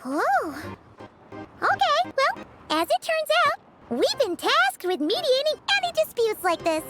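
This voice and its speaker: A woman voicing a tiny, chirpy, childlike fairy companion in a video game, with a squeaky voice. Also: huge pitch swings, measured pace, full character voice. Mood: earnest. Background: music.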